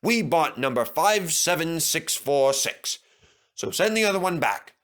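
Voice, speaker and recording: A man's voice: fairly high pitch, animated, a bit bright, voice pushed up. A man voicing a stern, authoritative railway controller in a children's story, speaking in a commanding way. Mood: firm. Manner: commanding, deliberate.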